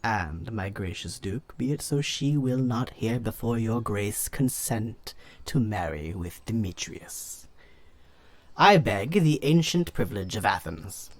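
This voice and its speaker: A man's voice: fairly low pitch, lively intonation, a little slow. An actor playing an angry, domineering older Athenian father, declaiming Shakespearean verse with sudden bursts of emphasis. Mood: indignant, demanding. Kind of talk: Shakespearean verse audio drama acting.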